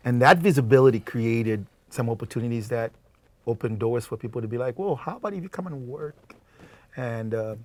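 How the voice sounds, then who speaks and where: A man in his thirties from Zambia, a singer-songwriter guest talking casually in a TV studio, animated and quick to laugh. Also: mid-range voice. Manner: animated, laughs often, repeats words. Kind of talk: casual interview talk. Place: TV studio set.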